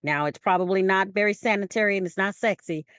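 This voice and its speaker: A woman's voice: medium pitch, quick pace, a bit bright, no echo, studio quality. An American woman co-hosting a podcast in conversational discussion, telling stories emphatically and posing rhetorical questions. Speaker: podcast co-host.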